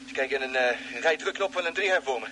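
A man's voice: medium pitch, fast talker, sharp tone, close to an everyday voice. An actor playing a jittery, chatty, down-to-earth spaceship crewman who stammers and repeats himself in a Dutch radio drama. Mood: nervous, chatty. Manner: stammering, repeats words when flustered. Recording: noisy old radio drama recording.

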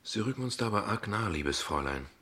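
An actor in a German radio play as a guarded, ironic father, dryly formal and deliberate, with a gravelly, husky voice. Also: fairly low pitch, lively intonation, pushed and bright, heavily acted. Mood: defensive, cool. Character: guarded, ironic father, courteous but defensive.

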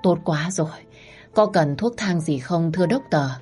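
A woman voicing a stern, doting old landowner father who gives gruff orders. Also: lowish voice, normal speed, darkish tone, faint music behind, lightly acted.

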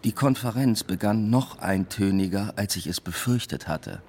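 An actor playing a weary, introspective academic who tells his own story in measured radio drama narration. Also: on the low side, lively intonation, darkish tone. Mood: dry, observant. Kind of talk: scripted first-person radio drama narration.

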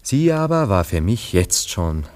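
A man's voice: low-pitched, sing-song, measured pace, darkish tone. An actor playing a dreamy, vain, romantic man of society in a literary radio play, musing and self-absorbed. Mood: rapturous, wistful.

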